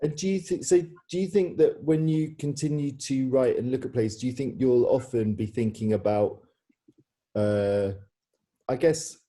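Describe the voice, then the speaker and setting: A man with a very mellow, dark voice at a middle pitch hosts an informal interview. He feels his way through questions tentatively, often rephrasing as he goes. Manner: hesitant, tentative, rambling phrasing. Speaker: interviewer and theatre director. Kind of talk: informal question-and-answer hosting.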